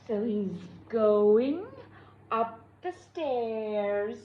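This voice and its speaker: A woman reading a storybook aloud to young children in an expressive, drawn-out way. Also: a little high, lo-fi sound, slight background noise. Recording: echoey room.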